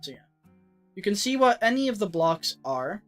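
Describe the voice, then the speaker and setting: A streamer chatting casually through a let's-play, rambling with lots of filler words and getting a little raspy. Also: medium pitch, big pitch swings, normal speed, fairly bright tone, faint music behind. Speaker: streamer playing an old game version.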